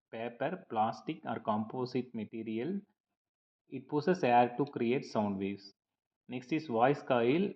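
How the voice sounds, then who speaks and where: A man reading a scripted lecture over slides in a measured, slightly halting, explanatory way. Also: mid-range voice, highs cut off.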